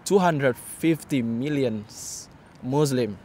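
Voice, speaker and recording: A man from Indonesia with an Indonesian accent, answering casually in halting English and often repeating words. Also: medium pitch, darkish tone. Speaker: interviewee. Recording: clear outdoor traffic noise.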